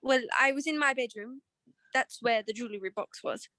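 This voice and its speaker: A woman's voice: on the high side, moderate pace, sharp tone, no echo, clean studio sound. A woman playing an evasive, anxious, well-to-do married client in a radio detective drama, speaking in a genteel, hesitant way. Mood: genteel, explaining.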